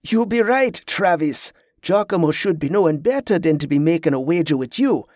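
A man's voice: a little high, expressive, quick pace, mellow, dry recording, clean studio sound. A man voicing a good-humoured sailor in an audiobook, with folksy, quaint broken speech. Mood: amused. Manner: folksy, refers to himself by name.

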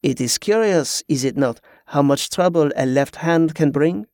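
A man's voice: fairly high pitch, animated, measured pace, darkish tone, dry recording, studio quality. A man voicing a crafty, hot-tempered old French pirate in an audiobook, with a French accent and effusive, flowery speech. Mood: genial, sly.

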